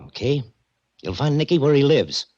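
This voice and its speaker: An actor playing a gruff, nervous, streetwise American bartender in a 1940s radio drama, wary and slangy. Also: male voice, medium pitch, animated, moderate pace, a little mellow, no echo, natural voice. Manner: tough slangy talk, wary. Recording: dull 1940s radio recording.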